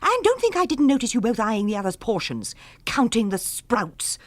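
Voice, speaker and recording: A woman's voice: fairly high pitch, sing-song, moderate pace. An English actress playing a bossy, sarcastic wife at her wits' end in a radio drama, scolding in formal, precise wording. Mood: stern, scolding.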